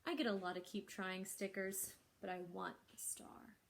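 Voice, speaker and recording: A woman's voice: medium pitch, fairly even intonation, normal speed, gravelly, slight background noise, lightly acted. A woman voicing an earnest, anxious young girl who is a reluctant reader in a storybook, with emphatic, simple phrasing. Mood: discouraged, wistful.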